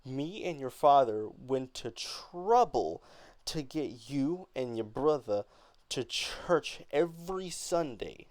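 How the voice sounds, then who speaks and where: A man's voice: medium pitch, animated, slow pace, a little mellow, no echo, voice pushed up. A man voicing a stern, pious mother with a folksy, admonishing manner, in an amateur dramatic play reading. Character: stern, pious mother scolding her grown daughter.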